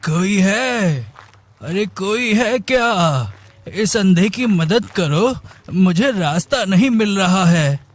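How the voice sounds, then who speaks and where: A man voicing a cunning blind schemer who puts on a plaintive, melodramatic manner in an animated story. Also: sits high, slow pace, heavily acted. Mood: pleading. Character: scheming blind man feigning helpless, wronged innocence. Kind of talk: animated moral story dialogue.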